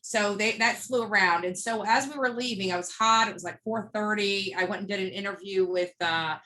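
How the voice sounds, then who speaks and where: A woman's voice: medium pitch, fairly quick, bright, forceful tone, rough recording. A woman being interviewed, telling a story casually in a blunt, emphatic way and acting out bits of dialogue as she narrates. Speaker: interview guest, street protester.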